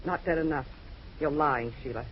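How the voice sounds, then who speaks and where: An actress playing a tough, vengeful jilted lover, accusing and intense in old-time radio melodrama. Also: female voice, low voice, moderate pace, close to an everyday voice. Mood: cold, determined. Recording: dull, noisy old radio recording.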